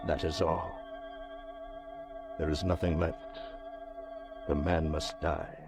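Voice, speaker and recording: A man's voice: rumbling low, flat delivery, creaky, over loud music, full character voice. A male actor playing a brooding, desperate wealthy banker in a grave, theatrical old radio drama style. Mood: brooding, bitter. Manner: slow, grave, theatrical delivery.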